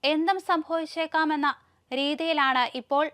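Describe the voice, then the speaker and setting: High-pitched Malayali woman reading news. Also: steady tone, normal speed, a bit bright. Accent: Malayali (Kerala). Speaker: news presenter. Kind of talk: scripted news narration.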